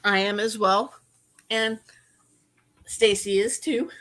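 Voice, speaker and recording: A woman's voice: mid-range voice, steady tone, takes it slowly, fairly bright tone, rough recording. A middle-aged woman co-hosting a podcast, talking in earnest, run-on explanations in casual chat. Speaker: podcast co-host.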